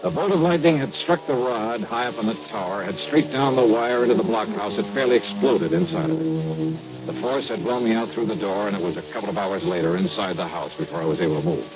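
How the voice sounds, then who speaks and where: Dramatic music sits behind a muffled vintage radio recording of a man playing a hard-boiled investigator. He narrates his case in a low, lively voice, terse and wry. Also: a little fast, lightly acted. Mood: grim, tense. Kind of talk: 1950s radio detective narration.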